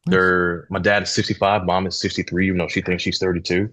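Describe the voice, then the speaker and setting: Man, late 30s, from St. Louis. Very low, husky voice, dry close mic. Emphatic podcast guest who swears casually. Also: little pitch movement, fast talker, a bit bright, dry recording. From St. Louis, Missouri.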